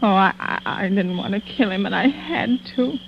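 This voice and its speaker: A woman playing a distraught, guilt-ridden older aunt in an old radio thriller, halting and sorrowful. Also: mid-range voice, lively intonation, vocal fry. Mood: sorrowful confession. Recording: dull, noisy vintage radio recording.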